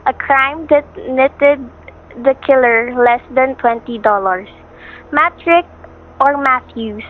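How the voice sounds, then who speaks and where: Woman as a high-voiced newsreader, tinny radio. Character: sober radio newsreader reporting a manhunt. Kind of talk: radio news bulletin in a drama. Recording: tinny radio speaker sound.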